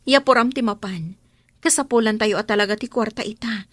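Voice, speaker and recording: A woman's voice: fairly high pitch, brisk, close to an everyday voice. A woman playing an anxious young wife and mother in an Ilocano Filipino radio drama, with music behind her. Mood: hopeful, reassuring.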